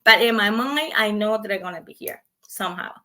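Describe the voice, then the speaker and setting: Female guest, native Spanish, Costa Rican accent; bright, forceful voice, animated casual storytelling with repeats for emphasis. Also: mid-range voice, a little fast. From Costa Rica. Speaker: podcast guest.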